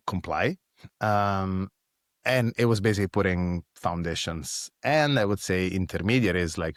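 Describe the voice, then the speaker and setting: Italian guy, deep low voice, thinking out loud with lots of ums, dry close mic. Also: no room sound. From Italy. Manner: thoughtful, frequent fillers and restarts. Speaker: guest, foundation executive. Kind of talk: conversational interview answers.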